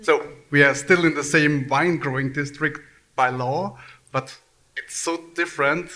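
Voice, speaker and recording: A man's voice: on the high side, fairly even intonation, a little slow, pushed and bright. A man speaking as a winemaker on a live panel in a language, searching for words and hesitating.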